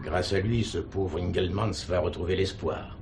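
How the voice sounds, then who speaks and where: An actor playing a courteous, middle-aged prison chaplain in a radio drama, speaking formally and earnestly. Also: male voice, low voice, moderate pace, creaky, a little mellow, a little hiss or hum. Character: earnest prison chaplain, a devout pleading man. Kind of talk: 1970s French radio drama acting.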